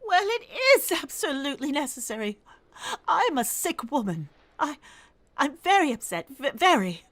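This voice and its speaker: A high, bright-voiced, nervous invalid wife played by an actress in a radio thriller. She stammers and pleads insistently, her pitch leaping as she demands help in breathless agitation. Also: sing-song, normal speed. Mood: agitated, imperious. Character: anxious, demanding invalid wife alone at home, terrified. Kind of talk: radio play thriller acting.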